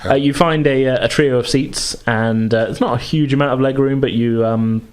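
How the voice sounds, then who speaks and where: A man acting as game master, casually narrating a tabletop game in a halting way as he searches for words. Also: mid-range voice, fairly even intonation, darkish tone, a little hiss or hum.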